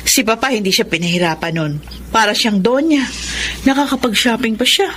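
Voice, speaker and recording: A woman's voice: on the high side, brisk, fairly bright tone, slight background noise, close to an everyday voice. A woman with a Filipino accent playing a curt, resentful grown daughter in a radio drama. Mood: bitter. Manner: curt, aggrieved.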